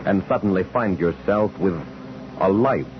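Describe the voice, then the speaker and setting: A man's voice: sits low, a little slow, noisy background. A man announcing a 1940s radio show in a solemn, portentous, dramatic style. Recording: dull old radio recording under music.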